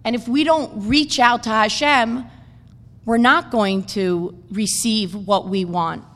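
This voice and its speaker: A woman's voice: fairly high pitch, slow, fairly bright tone. Earnest woman giving an uplifting talk, says things twice, echoey hall. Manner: earnest, repetitive emphasis. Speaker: speaker giving a blessing. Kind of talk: inspirational talk. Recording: reverberant hall.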